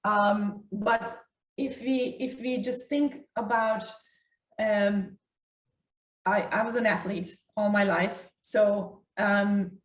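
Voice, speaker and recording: Woman, medium pitch, health talk co-host with emphatic delivery, recorded in a roomy space away from the mic. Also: steady tone, takes it slowly. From Ukraine. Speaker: doctor co-hosting a health talk. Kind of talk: conversational health talk to an online audience. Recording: echoey room, distant mic.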